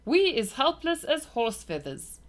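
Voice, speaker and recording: A woman voicing a huge kindly old male giant who mangles his grammar, speaking louder than her narration. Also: high voice, big pitch swings, unhurried, voice pushed up. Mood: gentle. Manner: gentle, mangled grammar, loud.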